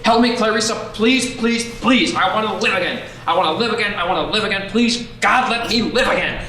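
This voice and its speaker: An amateur actor playing an excitable everyman husband and father in a radio play, with a pleading, stammering delivery. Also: high voice, a little fast. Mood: desperate pleading. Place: echoey room, audio-only play. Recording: roomy echo, faint noise, clicks.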